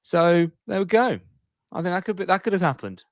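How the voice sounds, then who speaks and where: A man's voice: high-pitched, lively intonation, brisk, dry recording, studio quality. A man in casual podcast conversation, rambling along in a playful, jokey way. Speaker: podcast co-host.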